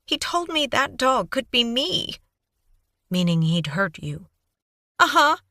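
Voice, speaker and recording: A woman voicing a flustered, tearful young woman who babbles through her story in a high, breathless voice for an audiobook. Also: big pitch swings, slow, sharp tone, dry recording, clean studio sound, full character voice. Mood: frightened. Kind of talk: audiobook character voice.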